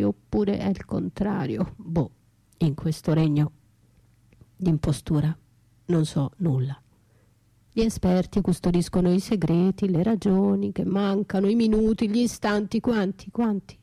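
An actress playing an anguished, bitterly lyrical battered wife left for dead, in an intimate, halting radio theatre monologue that drifts into whispers. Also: female voice, medium pitch, gravelly, warm tone. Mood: wistful, resigned. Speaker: stage and radio actress performing her own monologue. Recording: roomy echo, faint music behind.

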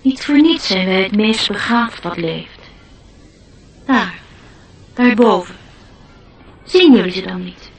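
A woman's voice: medium pitch, lively intonation, slow pace, pauses often. A woman playing a courteous, proud glow-worm in mourning, formal and wistful, in a radio play. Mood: mournful, proud. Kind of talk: Dutch radio play acting. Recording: distant-sounding radio play recording.

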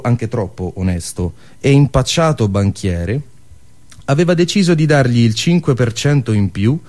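A man narrating a scripted story theatrically, dramatic and emphatic, with staged pauses. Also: mid-range voice, warm tone.

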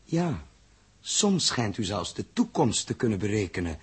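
An actor in a 1960s radio drama playing a calm, reasoning spaceship captain with a husky voice. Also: male voice, fairly low pitch, unhurried, heavily acted. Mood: thoughtful.

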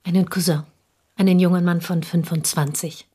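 An actress in a German radio drama playing a reserved village schoolteacher and confidante, speaking in a restrained, measured, factual way. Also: female voice, lowish voice, steady tone, mellow, breathy edge. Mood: composed, guarded.

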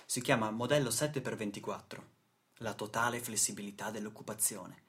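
A man acting as a sardonic, indignant storyteller in a radio theatre monologue, with a husky voice and rhetorical delivery. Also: fairly low pitch, normal speed, a bit bright. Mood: dry irony. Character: sardonic, indignant male storyteller attacking exploitation. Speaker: stage and radio actor.

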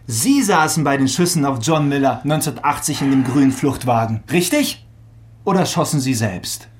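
A man's voice: mid-range voice, lively intonation, normal speed, slight background noise, lightly acted. Actor as an insistent young male detective. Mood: pressing. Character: eager, teasing young male police detective. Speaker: police detective. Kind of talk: naturalistic German radio crime drama acting.

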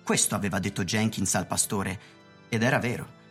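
Low-voiced woman narrating radio drama over music. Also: moderate pace. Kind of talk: literary scripted narration of radio theatre.

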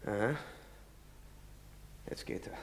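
An actor playing a coarse, bullying soldier who mocks and taunts in a drama. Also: male voice, takes it slowly, slight background noise, lightly acted. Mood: menacing. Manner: mocking, swaggering, taunting. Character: coarse bullying male soldier, loud and jeering. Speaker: soldier in a stage play. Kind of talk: radio drama acting. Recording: echoey hall reverb.

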